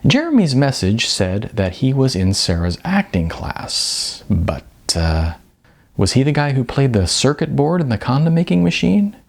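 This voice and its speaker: Guy reading his novel out loud, kinda wry, raspy w/ some fry. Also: medium pitch, sing-song, unhurried. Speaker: author reading own novel aloud. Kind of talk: audiobook-style narration of fiction.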